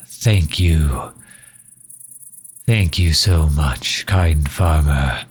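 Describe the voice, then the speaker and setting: A man playing a courteous, earnest vampire leader in an audio roleplay, speaking softly with formal, old-fashioned politeness. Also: rumbling low, extremely slow, breathy edge, exaggerated voice. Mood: grateful. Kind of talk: scripted ASMR roleplay, addressing the listener.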